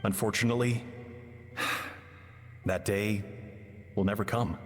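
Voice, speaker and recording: Regretful male adventurer: low, mournful, measured, bitter, heavy reverb, game drama. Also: fairly even intonation. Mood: sorrowful. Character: embittered, regretful male adventurer recalling lost friendship. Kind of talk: video game voice acting, dramatic monologue. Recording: long reverb with music behind.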